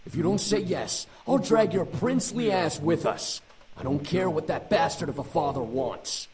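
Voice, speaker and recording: An actor playing a cynical young demon prince in a visual novel, scoffing, blunt and impatient. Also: male voice, a little high, expressive, normal speed, crowd noise, lightly acted. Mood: forceful. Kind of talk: visual novel character acting.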